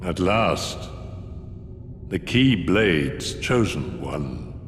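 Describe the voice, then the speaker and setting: An actor playing a cold, mocking, scheming older man in a video game cutscene, with measured, theatrical, condescending delivery. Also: deep voice, big pitch swings, a little hiss or hum, full character voice. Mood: cold, commanding. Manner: condescending, sardonic. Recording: echoey long reverb, music underneath. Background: game score music.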